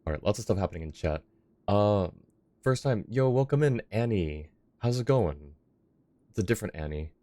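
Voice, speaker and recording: A man's voice: fairly low pitch, lively intonation, normal speed, dark tone, no echo. A young man livestreaming a game and chatting casually with viewers on a close microphone. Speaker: live game streamer. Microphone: close streaming microphone.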